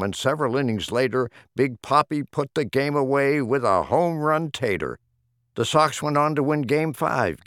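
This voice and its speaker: A man's voice: mid-range voice, a bit bright, no room sound, studio quality. A man reading humorous rhyming poems as an audiobook narrator, with a theatrical, rhythmic delivery. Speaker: audiobook reader.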